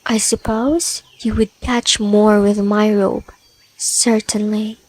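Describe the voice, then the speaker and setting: Prickly spider-woman played by a woman: slow, scornful, haughty audio-drama delivery. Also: mid-range voice, flat delivery, darkish tone, rough recording. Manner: dry, scornful, bargaining. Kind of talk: ASMR audio roleplay.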